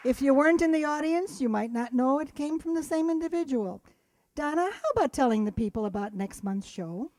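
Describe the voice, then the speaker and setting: A woman's voice: high voice, animated, brisk, darkish tone, no echo. A woman from a radio drama group giving live closing remarks and thanks in formal, wordy phrasing. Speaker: radio drama group member addressing audience.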